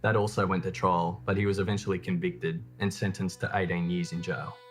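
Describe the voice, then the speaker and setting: Low-pitched man narrating true crime. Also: little pitch movement, brisk, faint music behind.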